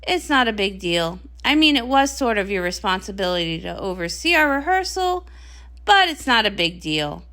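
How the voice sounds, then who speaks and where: A woman's voice: medium pitch, sing-song, moderate pace, sharp tone, pitched below the performer's own voice. A woman voicing a dramatic, jealous young pony princess and pop star in a comic read-along, indignant and sarcastic. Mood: passive-aggressive.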